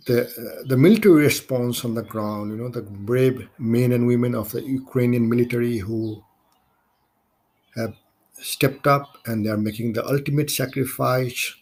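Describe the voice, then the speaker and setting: A man from Nepal with a Nepali accent hosts a solo podcast, speaking in halting, deliberate phrases. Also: mid-range voice, gravelly, a little mellow. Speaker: podcast host.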